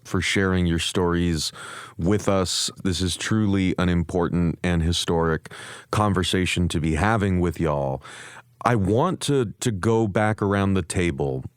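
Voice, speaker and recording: Man, interview host, slow measured pace, deep register, earnest, courteous. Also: smooth, no echo, clean studio sound.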